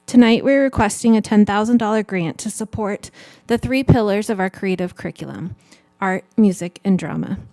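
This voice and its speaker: A woman's voice: mid-range voice, moderate pace, darkish tone, no room sound. Woman, from california, earnest, emphatic, dry close sound, podium speech. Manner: earnest, emphatic reading of a funding request. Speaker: education foundation director. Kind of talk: prepared public statement. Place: city council chamber.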